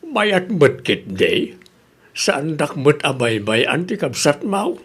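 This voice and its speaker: A man's voice: on the high side, sing-song, slow pace, a bit bright, slightly rough, full character voice. A man acting an elderly, homely father who is plain-spoken and a little stubborn, in an Ilocano-accented comedy radio drama. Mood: calm, reproving.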